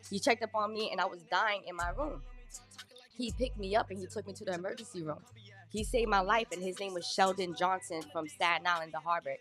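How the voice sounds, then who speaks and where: A woman in her thirties telling her life story in an interview, candid and plain-spoken, with halting restarts. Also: medium pitch, fairly even intonation, fairly bright tone, soft background music. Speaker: guest. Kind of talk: personal testimony in an interview.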